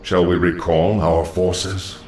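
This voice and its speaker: A man's voice: low voice, little pitch movement, slow pace, a little mellow. An actor playing a loyal undead lich in a fantasy game, solemn and deferential toward his master. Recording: echoing voice over faint music.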